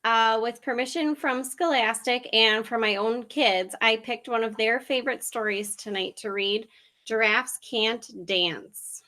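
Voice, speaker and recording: A woman's voice: on the high side, fairly even intonation, measured pace, sharp tone. A woman reading a rhyming picture book aloud to children, like a teacher at story time.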